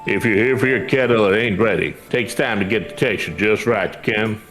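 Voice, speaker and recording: A man's voice: lowish voice, normal speed, fairly bright tone, faint music behind, lightly acted. A man voicing a brash, boastful master weaponsmith in a fantasy game, with blunt, sardonic, rustic slangy speech. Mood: grumpy, mocking. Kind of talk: fantasy game dialogue read aloud.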